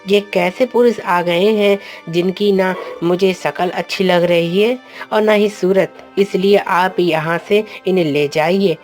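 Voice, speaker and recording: A woman's voice: fairly low pitch, fairly even intonation, slow, darkish tone, soft background music. A woman voicing a proud, stubborn young princess who argues with her father in a cartoon story. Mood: scornful. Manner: indignant, defiant. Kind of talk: Hindi cartoon storybook voice acting.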